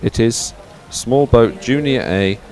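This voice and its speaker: A man giving live sports commentary as presenter, speaking in a halting, hesitant way. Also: medium pitch, darkish tone, soft background music.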